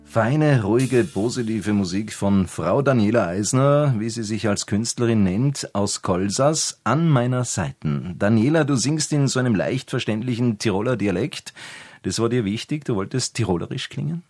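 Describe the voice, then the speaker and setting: A man's voice: on the low side, animated, normal speed, a little mellow, husky, no echo, clean studio sound, faint music behind. A man hosting a radio interview, introducing and questioning a guest.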